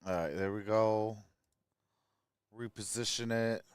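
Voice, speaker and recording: A man's voice: on the low side, steady tone, unhurried, clear, resonant tone, no echo, studio quality. An American man hosting a sports livestream, chatting casually and informally to his viewers.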